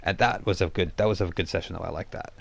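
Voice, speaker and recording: A man narrating a tabletop roleplaying game as game master, in a halting, conversational way. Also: sits low, steady tone, creaky, slightly rough.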